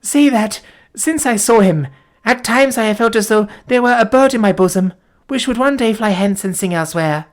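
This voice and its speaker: A woman's voice: a little high, moderate pace, voice pushed up, natural voice. A woman voicing a devoted young woman who is dying, speaking tenderly in faint, gasping breaths. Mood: tender, fading. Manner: gasping, tender, archaic formal speech.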